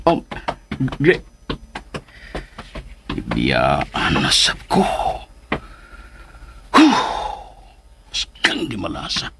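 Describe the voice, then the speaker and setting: An actor playing a bashful, pleading middle-aged suitor in a Filipino radio drama, hesitant and stammering, with a Filipino accent. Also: male voice, low-pitched, huge pitch swings, drawn-out words, pauses often, noisy background, exaggerated voice. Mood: pleading, dejected. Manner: hesitant, stammering, nervous throat-clearing and chuckles. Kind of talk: radio drama acting, melodramatic.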